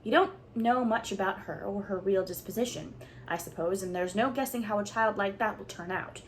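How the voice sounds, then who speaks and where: A woman's voice: mid-range voice, moderate pace, a little hiss or hum, lightly acted, rough recording. A woman voicing a blunt, gossipy older village woman who talks emphatically. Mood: gloomy. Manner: emphatic, speaks her mind.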